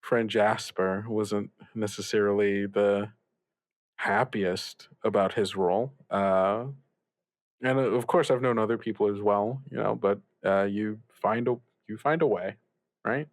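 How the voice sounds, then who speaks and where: A man playing a reassuring older radio mentor in an improvised audio drama, giving gentle advice in a halting, rambling way. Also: fairly low pitch, fairly even intonation, dry recording. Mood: halting reassurance. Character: reassuring older male radio mentor, upbeat, evasive about troubles. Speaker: student radio show host. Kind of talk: improvised actual-play audio drama, conversational.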